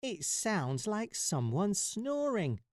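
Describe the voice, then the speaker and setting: A man voicing a tiny, bold male mouse in a high, squeaky voice. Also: sing-song, slow pace, no room sound, clean studio sound, heavily acted. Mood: curious.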